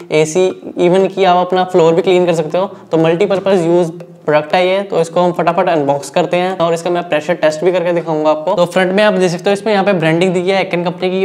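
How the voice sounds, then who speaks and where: A man casually explaining a product as he unboxes and demonstrates it for viewers. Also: high-pitched. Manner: quick, plain explaining to viewers. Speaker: product reviewer. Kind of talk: casual unboxing and demo narration.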